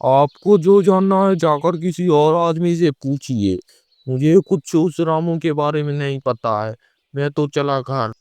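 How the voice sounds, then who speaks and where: A man's voice: a little high, a little slow, a little mellow, clear, resonant tone, no room sound, lightly acted. A man voicing a reluctant, easily spooked fellow in a horror cartoon, protesting and querulous. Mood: spooked, dismissive. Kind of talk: Hindi horror cartoon voice acting.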